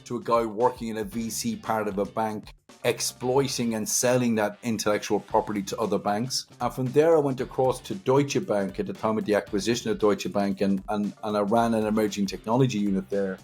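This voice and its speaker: A man's voice: lowish voice, steady tone, fairly quick, a little mellow, breathy edge, soft background music. A man in his fifties with a Dublin Irish accent, chatting as an interview guest and repeating words for emphasis. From Dublin, Ireland. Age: 50s. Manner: chatty, emphatic word repetition. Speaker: guest, technology entrepreneur. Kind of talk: conversational interview, recounting own career.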